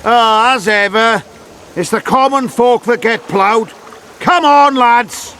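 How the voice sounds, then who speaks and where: High-voiced indignant peasant man. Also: slow pace, bright, forceful tone, a little hiss or hum, full character voice. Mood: bitter, scornful. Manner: scornful, complaining, blunt. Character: indignant, whining common townsman, coarse and accusing. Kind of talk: dramatic video game dialogue.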